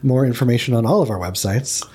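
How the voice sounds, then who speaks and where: A man's voice: medium pitch, fast talker, warm tone, breathy edge. A man hosting a studio talk show, asking upbeat questions and affirming his guests with brief interjections.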